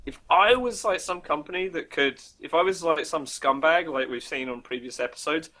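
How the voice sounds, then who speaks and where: A man's voice: a little high, moderate pace, sharp tone. A man co-hosting a casual, comedic internet talk show, irreverent and sweary, often slipping into acted-out imaginary dialogue.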